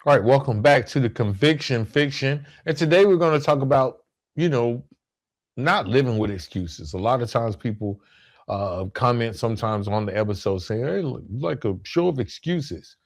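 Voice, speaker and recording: A man's voice: mid-range voice, animated, moderate pace. A man hosting a solo podcast, explaining his points in an emphatic, rhetorical way and repeating key phrases for weight. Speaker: podcast host.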